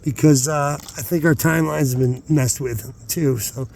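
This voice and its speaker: A man's voice: a little high, fairly even intonation, moderate pace, creaky, a little mellow, slight background noise. A man talking casually as host of his own outdoor vlog, hesitant and meandering, often interrupting himself. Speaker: channel host. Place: outdoors at night, rockhounding.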